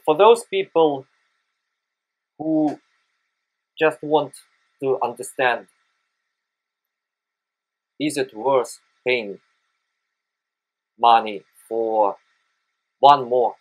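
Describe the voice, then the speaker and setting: Slow, halting Russian-accented man. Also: mid-range voice, rough recording. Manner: hesitant, frequent "uh" pauses, careful non-native phrasing. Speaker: photography tutorial host. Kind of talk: screen-recorded software tutorial.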